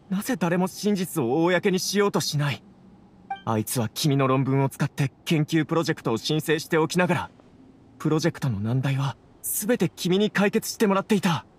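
A man's voice: a little high, lively intonation, fairly quick. A man playing an earnest, troubled young scholar with polite speech in a Japanese game. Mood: indignant. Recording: clear background noise, insect ambience.

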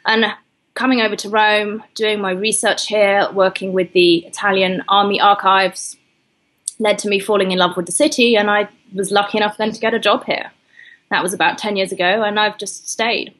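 A woman from the UK explains her field as an interview guest, measured and articulate. Her voice sits at a mid pitch with a slightly husky edge. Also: fairly even intonation, fairly bright tone, rough recording. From United Kingdom. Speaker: historian guest. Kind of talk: interview answers.

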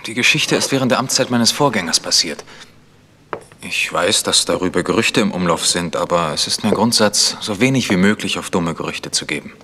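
An actor playing an earnest, guarded village vicar with a formal manner in a German radio crime drama. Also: male voice, low-pitched, expressive, a little fast, sharp tone. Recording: noisy recording with clicks.

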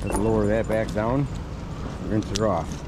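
A man hosting a magnet fishing outing by the water, commenting excitedly to the camera as he pulls up finds. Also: on the low side, measured pace. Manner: excitable, whoops and exclaims at finds. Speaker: magnet fishing channel host. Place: outdoors at the water's edge. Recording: outdoor noise and wind around the mic.

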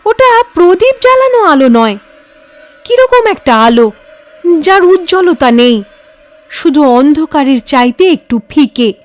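Female audio-story narrator: high voice, unhurried pace, dramatic and suspenseful delivery. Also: dry recording. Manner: expressive, suspenseful.

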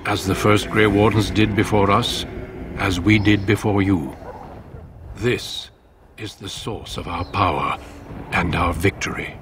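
An actor playing a solemn, commanding middle-aged warden leader in a fantasy game, speaking formally. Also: male voice, low-pitched, fairly even intonation, background noise. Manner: grave, measured, formal. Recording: game dialogue over loud background ambience.

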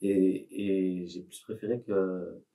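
A man's voice: deep voice, fairly even intonation, slow pace, dark tone. A young teenage boy, a podcast guest, talking hesitantly with many restarts and fillers. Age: young teen. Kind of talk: casual podcast interview. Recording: voice from a distance.

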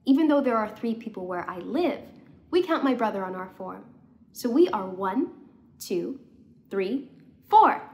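Woman reading as an excited, animated young boy. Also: mid-range voice, unhurried, long pauses. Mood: cheerful, excited. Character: cheerful young boy, proud big brother.

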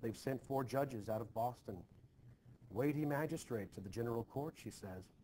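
A man's voice: mid-range voice, moderate pace, mellow, close to an everyday voice. Man reading as anxious Puritan wife, noisy recording. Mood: reserved. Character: anxious, dutiful Puritan farm wife, quietly reproachful. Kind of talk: expressive play reading. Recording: noticeably hissy home screen recording.

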